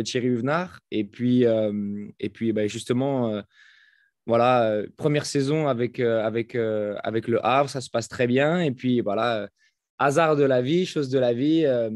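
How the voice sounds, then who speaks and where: A French man who just turned thirty, guest on a podcast, speaking in a halting way full of fillers and restarts. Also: medium pitch, a little mellow, no room sound, studio quality. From France. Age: 30s. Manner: hesitant, many fillers and restarts. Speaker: guest, fitness coach. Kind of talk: casual interview talk. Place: home in Florida, over a call.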